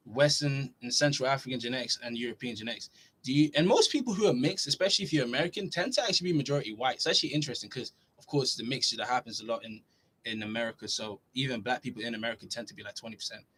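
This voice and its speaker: Young adult male, Norway-born fitness streamer, casual chatty Q&A host, mid-pitched voice. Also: slight background noise. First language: Norwegian. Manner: relaxed, chatty, reads questions aloud then answers. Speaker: fitness YouTuber answering live chat. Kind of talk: casual livestream Q&A.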